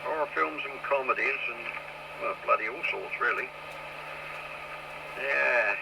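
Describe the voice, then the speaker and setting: A man's voice: mid-range voice, measured pace, vocal fry. A man chatting casually over CB radio. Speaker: CB radio hobbyist. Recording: noisy, crackly CB radio.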